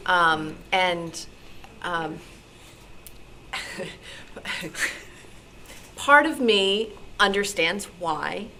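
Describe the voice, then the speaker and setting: Woman with a lowish voice chatting about her radio work, halting and animated, some room noise. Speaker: radio show programmer taking audience questions. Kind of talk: informal talk with audience questions. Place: club meeting room. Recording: noisy live meeting room recording.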